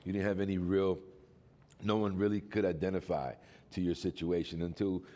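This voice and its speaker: A middle-aged man from Cuba with a Cuban accent from growing up in Boston, chatting as a panelist and telling animated, playful stories. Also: deep voice, normal speed. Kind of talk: casual panel chat.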